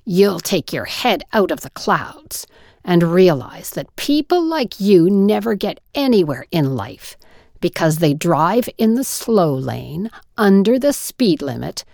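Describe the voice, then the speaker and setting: A woman storyteller voicing a scornful, bitter husband who berates his wife with drawn-out, emphatic contempt. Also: on the low side, big pitch swings, vocal fry, darkish tone, no echo, clean studio sound, exaggerated voice. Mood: scoffing.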